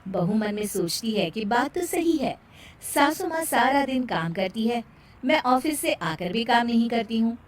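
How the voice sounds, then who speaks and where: A woman with a high-pitched voice narrates a scripted moral story. The delivery is storytelling for a children's cartoon. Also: expressive, a little slow.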